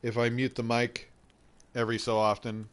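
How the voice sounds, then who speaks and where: A man's voice: medium pitch, monotone, moderate pace, smooth. A young man with a head cold, chatting casually and swearing as he introduces a game playthrough. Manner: laid-back, rambling, swears casually. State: stuffy, has a head cold. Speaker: gameplay streamer. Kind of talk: casual gaming intro chat.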